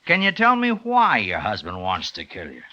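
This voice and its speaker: An actor playing a brisk, skeptical police lieutenant who questions dismissively, in old-time radio drama style. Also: male voice, on the low side, wildly swooping pitch, sharp tone, exaggerated voice. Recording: dull vintage radio recording.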